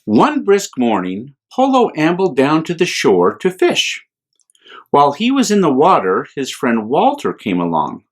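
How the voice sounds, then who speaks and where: Slow-paced American man reading to children. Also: on the high side. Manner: unhurried, expressive. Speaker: priest hosting a children's storytime. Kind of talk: gentle read-aloud storytelling for children.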